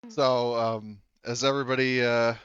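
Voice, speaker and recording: A man's voice: medium pitch, flat delivery, moderate pace, fairly bright tone, smooth, no echo, highs cut off. A man casually running a tabletop roleplaying game as game master, chatting informally and swearing freely. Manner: informal, profane.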